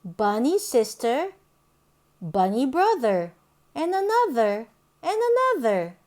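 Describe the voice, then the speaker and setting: Female children's storybook reader, high voice, lively swooping intonation, sing-song and deliberate. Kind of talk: read-aloud picture book storytelling for young children.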